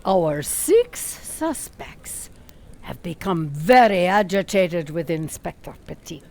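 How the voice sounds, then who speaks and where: A woman narrating a radio play as theatrical storytelling, with dramatic, emphatic pauses on key words. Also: on the low side, expressive, a little mellow. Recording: faint background noise.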